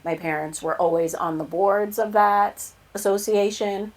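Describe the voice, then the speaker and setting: A woman's voice: mid-range voice, fairly even intonation, unhurried. A woman from Winnipeg co-hosting a live podcast, chatty and laughing easily as she recalls her youth. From Canada, Winnipeg. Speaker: podcast co-host. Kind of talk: casual chat at live podcast. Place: live podcast recording venue.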